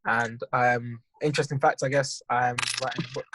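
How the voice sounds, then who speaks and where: A man's voice: mid-range voice, moderate pace, background noise. Young man chatting on a call. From Netherlands, raised in London. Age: young adult. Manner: relaxed, says "bro" often, laughs easily. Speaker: podcast co-host. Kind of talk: casual chat on a video call. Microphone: video call.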